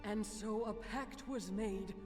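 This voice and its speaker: An actress playing a grave ancient narrator of dragon legends in an epic fantasy game. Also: female voice, medium pitch, slow, natural voice. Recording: distant, long reverb, loud game music.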